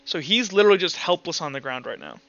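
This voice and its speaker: A man's voice: a little high, animated, fast talker, sharp tone, no room sound, muffled recording. A man casually running a tabletop roleplaying game, rambling through rules and muttering as he counts and looks things up. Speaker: game master. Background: background music.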